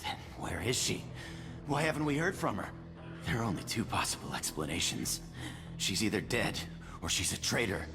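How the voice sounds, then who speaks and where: An actor playing an irritable, defensive medical technician in a video game, argumentative and self-preserving. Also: male voice, lowish voice, very animated, normal speed, long reverb tail, music in the background. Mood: defensive, agitated. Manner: testy, argumentative. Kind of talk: dramatic video game dialogue.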